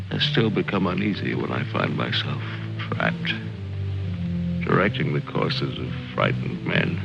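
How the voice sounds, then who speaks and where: Very deep, gravelly brooding general. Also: male voice, full character voice. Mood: troubled. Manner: slow, solemn, theatrical musing. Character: brooding world-weary military general, philosophical and morbid. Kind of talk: old film dramatic monologue. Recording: dull old film soundtrack, loud music.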